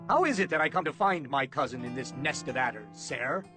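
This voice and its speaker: A man voicing a cold, imperious lord and royal minister, measured, formal and contemptuous, in an audiobook. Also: fairly high pitch, animated, sharp tone, soft background music. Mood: suspicious.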